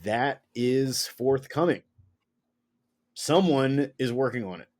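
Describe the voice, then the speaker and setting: A man's voice: mid-range voice, slow pace, darkish tone. A man co-hosting a podcast, chatting in an easygoing, dryly joking way. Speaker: podcast co-host. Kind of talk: casual chat.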